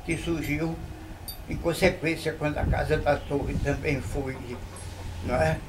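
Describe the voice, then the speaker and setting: Older man, unhurried storyteller. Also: fairly high pitch, fairly even intonation, noisy background. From Bahia, Brazil. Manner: unhurried, with frequent tag questions. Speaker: local storyteller interviewee. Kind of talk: reminiscing interview. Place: historic old mansion.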